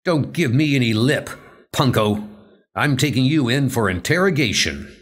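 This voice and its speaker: A man's voice: medium pitch, expressive, moderate pace, natural voice. A man voicing a bullying, thuggish police stormtrooper who barks out menacing orders. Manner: barking, menacing.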